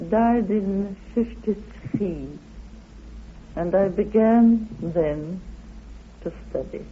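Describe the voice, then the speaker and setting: An older man giving a reflective interview as a researcher, speaking in an emphatic, deliberate way. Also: high-pitched, narrow, tinny audio, a little hiss or hum. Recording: band-limited old radio interview.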